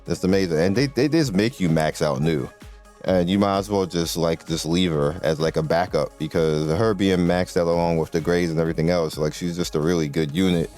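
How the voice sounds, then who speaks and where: A young man with a very low, deep voice talks through a mobile game in a loose, rambling way. He gets excited and trips over his own sentences, restarting them as he goes. Soft music plays faintly underneath. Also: fairly quick. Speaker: gaming video creator. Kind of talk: casual gameplay commentary.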